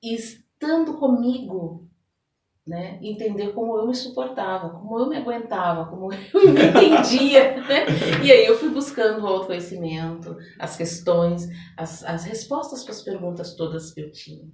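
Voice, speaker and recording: A woman with a São Paulo accent talks in a roomy space, a little away from the microphone. Her voice sits at an average pitch. She speaks as an interview guest, reflective and conversational, repeating words for emphasis. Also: normal speed, darkish tone. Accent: Brazilian, São Paulo. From São Paulo, Brazil. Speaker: podcast guest. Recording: roomy echo, distant microphone.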